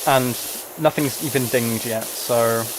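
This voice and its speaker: A soft-spoken man livestreaming, chatting casually to viewers while he plays a game. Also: mid-range voice, fairly even intonation, slow pace. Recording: clear background noise, spraying game sounds. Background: game ambience and water spray.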